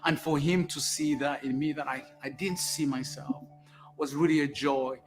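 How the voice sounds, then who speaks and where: A man's voice: a little high, fairly even intonation, moderate pace, faint music behind. A man from Uganda, a foster and adoptive father, telling his life story in an earnest, reflective, heartfelt way. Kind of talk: personal testimony in a documentary clip.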